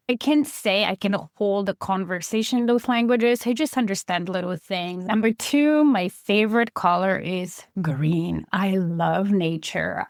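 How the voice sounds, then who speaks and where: A podcast host in her forties, Polish-born with a light Polish accent, talking through a relaxed, chatty solo episode about herself. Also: medium pitch, no echo, studio quality. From Poland. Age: 40s. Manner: chatty, reflective, counts through a list.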